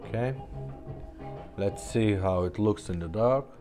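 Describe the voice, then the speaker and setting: Guy doing a casual unscripted demo, really slow and halting, dark soft-edged tone, low key. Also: soft background music. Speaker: presenter demonstrating a restored car radio. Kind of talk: casual unscripted product demonstration.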